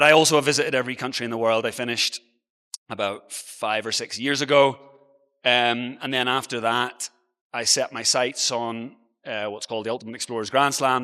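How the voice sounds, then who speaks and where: Bright-voiced Irish man in a reverberant hall. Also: medium pitch. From Ireland. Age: early 40s. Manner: informal, self-deprecating, conversational. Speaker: travel blogger and guest speaker. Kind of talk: motivational conference talk, personal storytelling. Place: conference hall on stage. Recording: reverberant hall, long echo.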